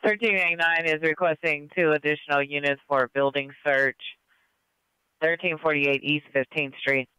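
A man's voice: fairly high pitch, steady tone, normal speed, sharp tone, smooth, dry recording, poor audio. A man dispatching police units in procedural language over a scanner radio.

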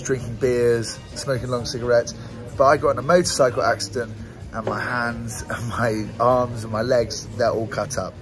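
A man's voice: mid-range voice, low-quality recording. A British man in his twenties chatting casually to camera as a travel vlogger, in a laid-back, slangy way, outdoors on a handheld camera. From grew up in Southeast Asia, mainly Singapore. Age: 20s. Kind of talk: casual vlog chat to camera. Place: outdoors, open-air bar and roadside in a small town. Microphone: phone or camera at arm's length.

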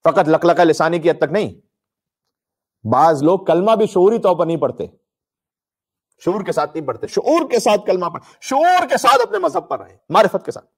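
Emphatic male preacher in impassioned sermon. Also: fairly high pitch. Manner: emphatic, declamatory, mournful storytelling delivery. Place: indoor room with draped religious banners, live stream.

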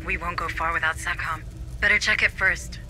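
Man playing a high-voiced, businesslike tech officer. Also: fairly even intonation, moderate pace, natural voice. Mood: serious, cautioning. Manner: informative, matter-of-fact. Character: serious, businesslike male tech officer advising marines. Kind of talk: video game voice acting. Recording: faint background noise.

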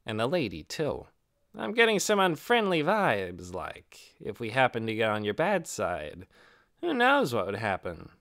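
A brash, cautious goblin warrior from a fantasy audiobook. The voice is sharp and forceful with a gravelly creak. It leaps up and down as he banters in slangy, taunting phrases. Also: medium pitch, huge pitch swings, measured pace, full character voice. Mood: wary, firm. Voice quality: hard, creaky.

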